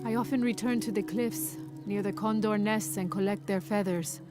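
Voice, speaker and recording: A woman's voice: medium pitch, steady tone, moderate pace, close to an everyday voice. A woman playing a lovelorn outcast villager, tenderly reminiscing in video game dialogue. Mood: wistful. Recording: game music behind the voice. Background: music.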